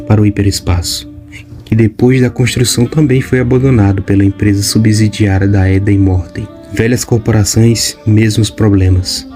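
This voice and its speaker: A man giving scripted audiobook narration in an even, measured way. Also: on the low side.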